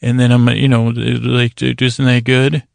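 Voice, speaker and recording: Gravelly, rambling man, dry close recording. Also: medium pitch, level tone, dry recording. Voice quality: gravelly, creaky. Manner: halting and digressive, trailing off and circling back on words. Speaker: host of a bedtime story podcast. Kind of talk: rambling, meandering solo podcast monologue meant to lull listeners to sleep.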